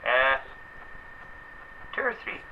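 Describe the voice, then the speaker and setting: Rambling young Scottish man, slightly dull line. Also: slow. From Scotland. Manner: rambling, lots of fillers. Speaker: guest. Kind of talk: casual chat. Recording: slightly dull, faint noise.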